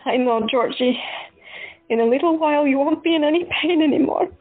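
A woman playing a soft-spoken, caring doctor. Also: high-pitched, brisk, close to an everyday voice. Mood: tender. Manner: soft, earnest, reassuring. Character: compassionate woman doctor comforting the dying. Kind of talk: amateur audio drama acting. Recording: tinny phone-like audio, faint music.

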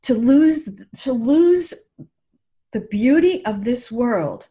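A woman's voice: a little high, expressive, takes it slowly. A woman guest speaking earnestly and emphatically in a reflective conversation, sometimes repeating words as she goes. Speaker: interview guest, author and coach. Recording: dull, band-limited lo-fi audio.

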